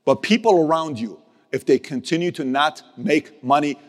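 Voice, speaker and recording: Man, motivational leader, emphatic and repetitive, very lively delivery, reverberant hall. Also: a little high, normal speed. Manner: emphatic, repeats key phrases, swings from loud to hushed; casual street slang and mild cursing. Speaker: business leader speaking to his team. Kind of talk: motivational speech.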